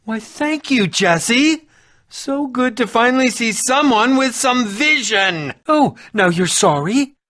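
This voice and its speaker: An actor playing an eccentric, haughty and excitable male alchemist in a video game, with a theatrical, pompous, indignant delivery. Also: very high voice, slow, no echo, clean studio sound, full character voice. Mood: indignant, smug. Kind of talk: animated video game voice acting.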